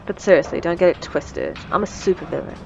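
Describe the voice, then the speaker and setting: A woman's voice: fairly low pitch, huge pitch swings, brisk, creaky, a little mellow, muffled recording, slight background noise, full character voice. A woman playing a wry, menacing supervillain in a scripted audio roleplay, teasing and condescending, with cool threats. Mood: amused, warning. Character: wry, menacing female supervillain mentoring a captive assistant.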